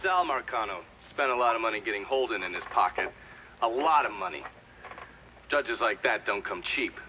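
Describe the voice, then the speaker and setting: A bright, forceful, cynical witness. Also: male voice, lowish voice, lively intonation, moderate pace. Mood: matter-of-fact. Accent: American. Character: cynical, frank witness testifying about mob corruption. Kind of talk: testimony scene in a video game. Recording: dull lo-fi audio, faint noise.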